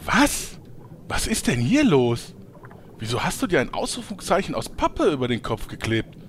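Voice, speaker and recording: Wildly animated cheeky freeloader played by a man. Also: a little high, normal speed, a little hiss or hum. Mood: mock outrage. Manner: theatrical, sheepish then indignant. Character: cheeky freeloading male player in online game parody. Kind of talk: comedic audio drama acting.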